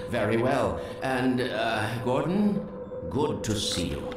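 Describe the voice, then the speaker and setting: A kindly, fussy older scientist in a video game. The formal voice swoops in pitch and comes through a video link, heavily echoing, with noise behind. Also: male voice, big pitch swings, takes it slowly. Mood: flustered, genial. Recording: very echoey, noisy video link.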